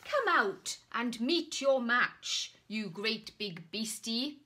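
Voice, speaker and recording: A woman voicing a blustering male knight from a storybook, booming, emphatic and declamatory. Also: lively intonation, takes it slowly, pushed and bright, full character voice. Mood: challenging.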